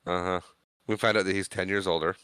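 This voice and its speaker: A man's voice: low voice, fairly even intonation, fast talker, pushed and bright, clear, resonant tone, no echo. A man co-hosting a podcast, retelling film scenes in casual chat, acting out the characters' quoted lines. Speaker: podcast co-host.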